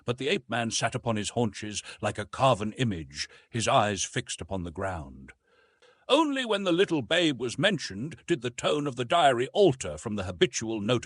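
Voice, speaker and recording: A man's voice: fairly low pitch, a bit bright, husky, dry recording. A man giving scripted audiobook narration of a classic adventure novel.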